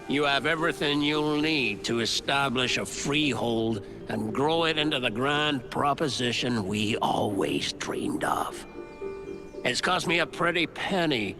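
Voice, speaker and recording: An actor playing a gruff, wry old pirate captain reading out his letter in a game cutscene, deliberate and theatrical, with colloquial seafaring speech. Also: mid-range voice. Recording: loud music under the voice.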